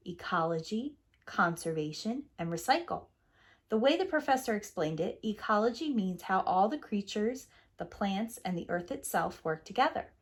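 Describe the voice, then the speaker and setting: A woman teacher reading a picture book aloud to young children in an expressive, clearly phrased storytelling manner. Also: mid-range voice.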